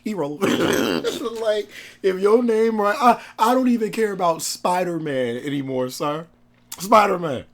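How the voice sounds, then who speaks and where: Hoarse, excitable man with a cold. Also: medium pitch. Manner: excitable, slangy, laughs often. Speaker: gaming YouTuber. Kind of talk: casual gaming chat.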